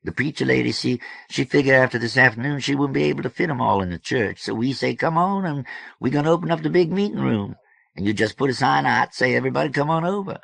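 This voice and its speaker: A man acts out a boisterous, folksy storybook fellow. He talks loud and slangy, pitched well above the reader's own deep voice. The delivery is emphatic and hearty, with a close, dry sound. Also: lively intonation, quick pace, creaky, dry recording, heavily acted. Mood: hearty, expansive. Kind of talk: audiobook character voice.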